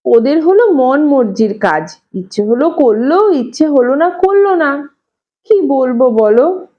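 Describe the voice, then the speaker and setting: A woman's voice: high-pitched, animated, measured pace, highs cut off. A woman voicing an ailing, self-pitying mother who laments in a martyred way in an audio story. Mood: lamenting, self-pitying. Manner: plaintive, martyred.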